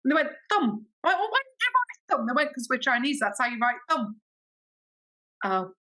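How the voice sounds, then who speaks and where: A woman with an English accent, a podcast guest telling stories in a chatty, expressive way and acting out quoted dialogue. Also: high-pitched, normal speed. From Britain. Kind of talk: animated storytelling in casual interview.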